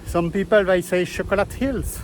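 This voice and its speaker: A man from Belgium with a Belgian accent, talking to camera in a casual travel vlog, eager and chatty in halting non-native English, outdoors on rural hills. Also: high-pitched, a little hiss or hum. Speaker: travel vlogger.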